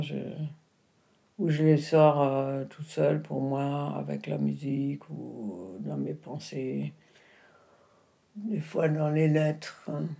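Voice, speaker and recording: A woman's voice: low voice, gravelly, warm tone. A woman whose first language is not French, speaking in an intimate conversation and searching for her words with frequent restarts. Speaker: interviewee, the filmmaker's mother.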